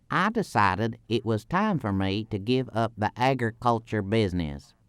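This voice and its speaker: A man playing an earnest professional babysitter in a comic monologue, speaking formally and precisely with a deadpan delivery. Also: fairly low pitch, clean tone, no room sound.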